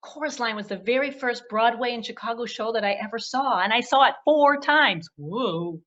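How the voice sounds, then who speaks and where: A woman's voice: a little high, normal speed, a bit bright, muffled recording. A woman talking as a storyteller in a theatrical, gushing way, as if reviewing a book for children. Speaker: children's librarian, storyteller and puppeteer.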